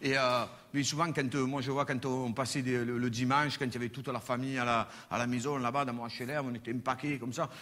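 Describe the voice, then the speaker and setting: A man with a Marseille accent doing a stand-up routine on stage, telling crude, animated stories full of swearing. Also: medium pitch, fast, hardly any pauses. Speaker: stand-up comedian. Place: stage before a live audience. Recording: live hall with long reverb.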